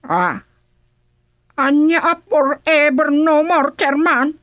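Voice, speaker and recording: A pleading, flustered wife in an Ilocano radio comedy. Her fairly high voice moves slowly, with hesitant, whining protests. She speaks with an Ilocano Filipino accent, recorded dry. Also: a bit bright, no room sound, highs cut off.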